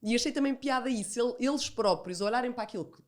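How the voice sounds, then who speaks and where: A woman's voice: fairly high pitch, fast. A woman with a European Portuguese accent, telling stories as an interview guest, chatty, acting out remembered dialogue and repeating phrases for emphasis. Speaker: podcast guest, entrepreneur. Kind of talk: animated storytelling in an interview.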